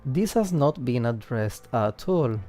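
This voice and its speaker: A man livestreaming game commentary and analysis, thinking aloud haltingly and repeating words as he works out his points. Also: medium pitch, lively intonation, dark tone, faint music behind.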